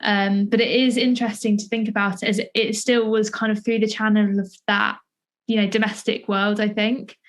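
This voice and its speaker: A woman's voice: mid-range voice, little pitch movement, normal speed. A young British woman conversationally interviewing, with a tentative, discursive way of thinking aloud. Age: young adult. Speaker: podcast interviewer and playwright.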